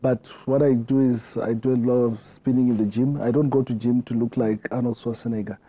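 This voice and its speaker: A middle-aged man with a Zimbabwean accent, answering interview questions in a reflective way, often halting and repeating words. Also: mid-range voice, steady tone, warm tone. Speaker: interview guest.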